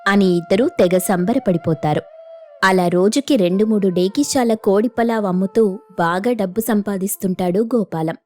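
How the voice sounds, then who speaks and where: A woman's voice: mid-range voice, normal speed, a little mellow, soft background music. A woman narrating a scripted moral folk tale as the storyteller.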